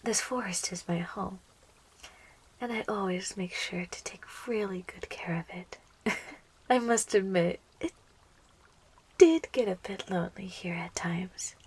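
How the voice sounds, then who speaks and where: A woman voicing a young, possessive, lovestruck plant monster girl in an intimate, breathy, slow and coaxing ASMR roleplay, close to the microphone. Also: medium pitch, expressive, heavily acted. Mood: teasing, sweet. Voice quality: breathy, whispery. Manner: slow, coaxing, teasing, giggly, doting.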